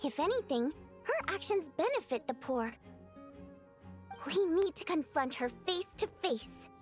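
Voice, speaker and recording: An actress playing an excitable, earnest, girlish young woman in a video game, expressive and given to thinking aloud. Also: very high-pitched, a little slow, faint music behind, full character voice.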